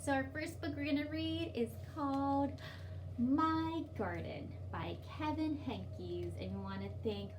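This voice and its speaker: A woman's voice: high voice, a little slow. A woman hosting a children's library story time, speaking in a simple, encouraging, sing-song way to young children. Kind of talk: children's story time with rhymes, signing and read-aloud. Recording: distant mic, noticeable background noise.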